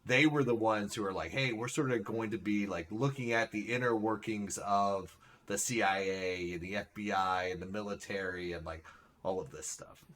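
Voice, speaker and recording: A man's voice: on the low side, moderate pace. A man hosting a live stream, chatting casually and saying "like" and "right?" a lot. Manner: relaxed, says "like" and "right?" often. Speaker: streamer host.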